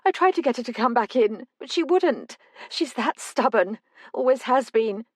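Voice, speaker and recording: Brief: woman doing a scared, weepy older mother who can't stop talking, pitched up, dry booth sound. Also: expressive, no room sound. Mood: distraught. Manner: tremulous, rushed outpouring. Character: older mother, frightened and tearful.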